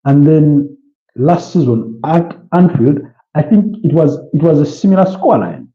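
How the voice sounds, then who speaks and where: A man's voice: a little high, animated, normal speed. A man with a Ugandan accent hosting a casual football preview livestream, chatting with viewers in a hesitant way and repeating phrases. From Kampala, Uganda. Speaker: livestream host. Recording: dull, roomy, echoey sound.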